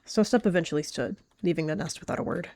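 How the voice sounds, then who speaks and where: A woman calmly narrating a roleplay story in a measured way. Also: lowish voice, gravelly.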